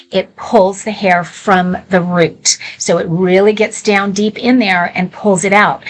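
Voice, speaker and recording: A woman's voice: on the low side, slight background noise, rough recording. Chatty woman hosting live shopping. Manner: chatty, candid, conversational asides. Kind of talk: informal live product demonstration.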